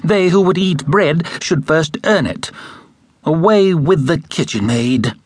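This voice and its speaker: Haughty young storybook stepsisters, played by a male narrator, sneering and imperious. The voice is lifted well up in pitch and full of scorn. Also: lively intonation, slow pace, breathy edge, no room sound, full character voice. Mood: scornful.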